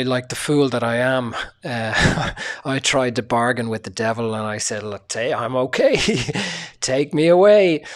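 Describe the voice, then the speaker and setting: Quiet, sick man with a hoarse voice. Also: medium pitch. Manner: quiet and subdued, swearing, frequent fillers. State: sick, low energy, voice hoarse. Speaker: podcast host. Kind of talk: casual solo podcast monologue.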